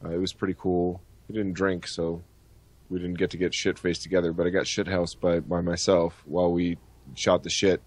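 A man with a low voice talks as a podcast host. He rants in a casual, profane way and comes across as blunt and outspoken. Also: little pitch movement, moderate pace. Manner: blunt, heavy swearing. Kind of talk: ranting, profane podcast chat.

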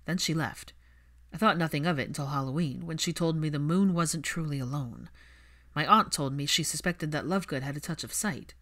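A woman voicing a reserved, analytical man in a story reading, measured and understated. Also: deep voice, fairly bright tone. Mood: thoughtful.

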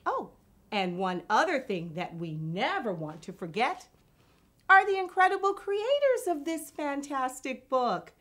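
Female children's presenter, thirties: enthusiastic, theatrical, highish voice, deliberate pace. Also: big pitch swings, a bit bright. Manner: emphatic, enthusiastic. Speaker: children's show host.

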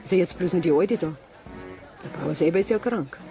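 A plain-spoken village farm helper talks in a Bavarian accent with a worried, sympathetic manner. It is naturalistic radio drama, with music clearly playing behind the voice. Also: female voice, fairly low pitch, brisk, mellow, a faint crowd. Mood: troubled. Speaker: actor in a radio crime drama.

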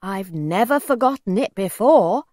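A woman voicing a gentle, pleading, very old gentleman in a storybook, well-spoken and old-fashioned in his courtesy. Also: medium pitch, expressive, measured pace, dry recording, clean studio sound. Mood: flustered. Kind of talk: storybook character voice.

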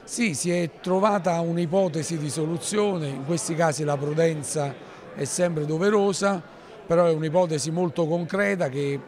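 A man's voice: on the high side, moderate pace, darkish tone. A man answers an interview formally, with careful, wordy phrasing. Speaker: mayor being interviewed. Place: large indoor council hall. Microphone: handheld interview microphone.

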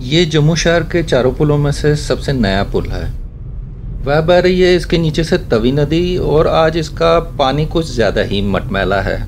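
A man's voice: fairly high pitch, lively intonation, unhurried. A man giving a casual, wry running commentary as he drives a guest around a city, speaking from inside a car. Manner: chatty, wry remarks about traffic manners. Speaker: vlogger giving a city driving tour. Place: inside a car driving through a city in rain.

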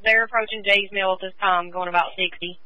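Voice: medium pitch, steady tone, a little fast. A police dispatcher tersely repeating updates on scanner radio. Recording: dull, dry scanner radio audio.

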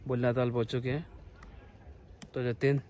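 A man's voice: medium pitch, flat delivery, moderate pace. A man chatting casually in a travel vlog, narrating to camera outdoors at a lake park. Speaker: vlogger. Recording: loud outdoor background noise.

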